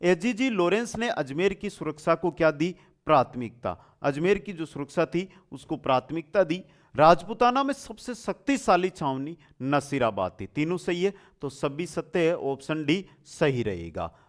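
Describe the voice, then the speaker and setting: A man's voice: a little high, very animated, normal speed. A man teaching an exam lesson in question-and-answer form, repeating answers and addressing students familiarly with rhetorical questions.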